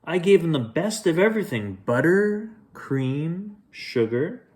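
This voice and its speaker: A man's voice: fairly high pitch, very animated, measured pace, darkish tone, pitched above the performer's own voice. A man doing a fretful, fussy baker. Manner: worried, fussy. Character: fretful, flustered male baker.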